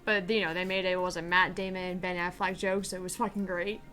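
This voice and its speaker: A woman's voice: fairly low pitch, flat delivery, a little fast, sharp tone, soft background music. A woman co-hosting a gaming stream, recapping the story in casual banter and swearing freely. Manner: profane, laughing, recaps the story loosely. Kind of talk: casual banter while playing a game.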